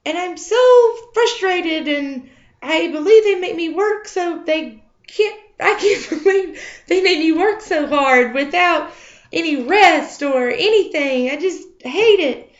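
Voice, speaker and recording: A woman's voice: extremely high pitch, lively intonation, slow pace, voice pushed up, full character voice. A woman voicing a self-pitying young maiden who whines and sobs over her hard life, in an echoey room. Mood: whiny. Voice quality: whiny, weepy. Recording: echoey, slightly dull recording.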